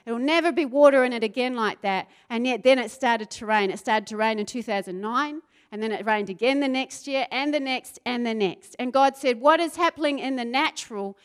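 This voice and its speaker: New Zealand woman preaching, smooth and high. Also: a little fast, few pauses. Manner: builds to fervent, rising intensity; asks the crowd questions, conversational asides. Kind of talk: sermon.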